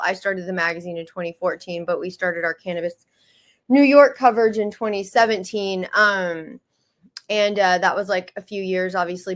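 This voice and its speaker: A shy-sounding woman from the United States talks through her answers as an interview guest, pausing often and restarting her sentences. Her voice sits at an ordinary middle pitch, and her delivery is loose and conversational. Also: a bit bright. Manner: hesitant, many fillers and restarts; self-described shy. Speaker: magazine founder and publisher, guest.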